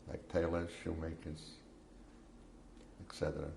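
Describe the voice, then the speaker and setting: A man in his seventies from Poland, giving slow, measured answers in an oral history interview. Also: very deep voice, monotone, creaky, darkish tone, a little hiss or hum. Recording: echoey room.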